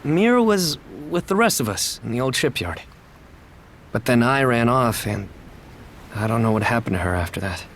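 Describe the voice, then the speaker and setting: Expressive, casual young guy. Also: mid-range voice, moderate pace, slight background noise. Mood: guilty, downcast. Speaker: voice actor. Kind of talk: video game cutscene acting.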